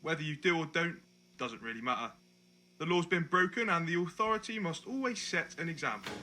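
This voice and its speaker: Give the voice a very sharp, forceful edge, sitting slightly high for a man. It is a man acting a sneering junior detective in a dystopian audio drama. He mocks and bullies, while toadying to his boss. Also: expressive, a little fast, a little hiss or hum. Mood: mocking, menacing. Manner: taunting, sarcastic, sycophantic to his boss. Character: sneering, mocking male detective constable bullying a prisoner.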